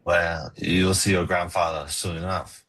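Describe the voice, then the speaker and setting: An actor playing a gentle, coaxing farm suitor, tender and a little forward, in a romcom table read. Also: male voice, low-pitched, steady tone, unhurried.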